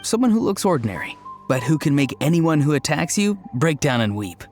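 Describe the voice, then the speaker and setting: A quiet young man who speaks in a flat, deadpan way, even when he is saying something odd. He sounds unhurried and dry in a game scene. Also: on the high side, faint music behind. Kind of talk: video game voice acting.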